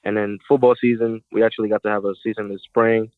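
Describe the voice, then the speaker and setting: A man's voice: fairly low pitch, fast, dry recording. Young man on a tinny phone line. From Puerto Rico. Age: young adult. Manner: relaxed, casual, uses fillers like "you know". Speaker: guest, college football player. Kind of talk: phone interview.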